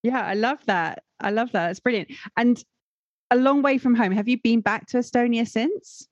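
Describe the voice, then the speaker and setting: A woman hosts a podcast interview in an upbeat, encouraging way, quick to praise her guest. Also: a little high, dry recording, lo-fi sound. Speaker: podcast host.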